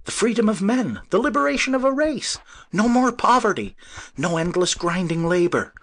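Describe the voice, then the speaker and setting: A man's voice: mid-range voice, normal speed, a bit bright, husky. A man voicing a young idealistic inventor in a storybook reading, impassioned and breathless with emotion. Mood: exultant pleading. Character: young idealistic male inventor, exultant and fervent.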